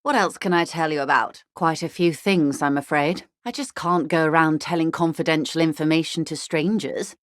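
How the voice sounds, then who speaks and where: An actress playing a poised, well-bred corporate negotiator in a video game, speaking in an articulate, measured, drily ironic way. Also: female voice, low-pitched, no room sound, clean studio sound, close to an everyday voice. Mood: wry amusement, then composed, businesslike. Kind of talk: scripted video game dialogue.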